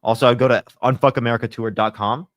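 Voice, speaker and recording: A man's voice: medium pitch, fairly even intonation, quick pace, no echo, highs cut off. A young man hosting a casual livestream, answering chat in a laid-back way full of fillers, a little congested with a sore throat. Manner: laid-back, filler-heavy, lots of "like" and "you know".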